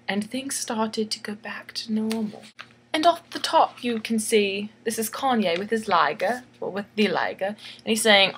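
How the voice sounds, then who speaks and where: A woman's voice: on the high side, fairly bright tone, a little hiss or hum. A woman reading a bedtime story in a theatrical, tongue-in-cheek way, giggly and chatty, repeating phrases for emphasis. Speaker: storyteller and illustrator.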